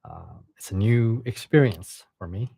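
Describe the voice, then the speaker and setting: Halting man. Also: lowish voice, gravelly, warm tone, breathy edge, no room sound. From China. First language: Chinese. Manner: halting, repeats words and restarts. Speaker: livestream host and reader. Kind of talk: casual livestream chat and reading a novel aloud.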